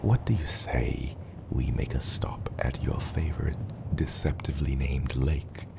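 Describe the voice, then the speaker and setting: A man voicing a gentle, proud dragon father in an ASMR roleplay, tenderly encouraging his hatchling in a gravelly, often breathy and whispered voice. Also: rumbling low, steady tone, full character voice. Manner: slow, tender, encouraging, softly playful.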